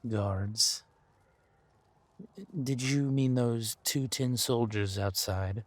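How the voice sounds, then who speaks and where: A man playing a ruthless, mocking warrior villain in a fantasy audio drama, with a husky voice and a deliberate, taunting delivery. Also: on the low side, no echo, full character voice. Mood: mocking threat.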